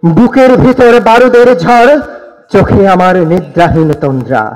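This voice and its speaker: A man's voice: high voice, very animated, normal speed, darkish tone, low-quality recording. A young man with a Bangladeshi accent gives an impassioned, declamatory recitation of patriotic poetry. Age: young adult.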